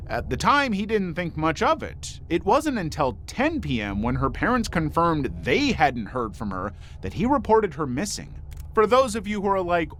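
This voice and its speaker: A man's voice: a little high, fairly bright tone, a little hiss or hum. A man reading scripted true crime narration as the narrator of a video. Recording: music bed under the voice. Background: background music.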